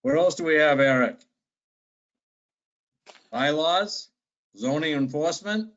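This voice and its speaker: A man's voice: a little high, animated, slow pace, a bit bright. A man chairing a town planning board meeting, speaking plainly and moving the agenda along. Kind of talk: meeting discussion. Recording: dull lo-fi local TV audio.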